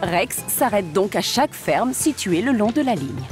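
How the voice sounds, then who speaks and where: A woman's voice: mid-range voice, animated, measured pace. A woman reading scripted storybook narration for a children's cartoon. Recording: music behind the voice. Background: music.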